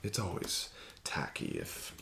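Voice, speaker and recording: A man doing an ASMR roleplay as a casino dealer, formal and courteous, slipping into soft whispers. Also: slow pace, slight background noise.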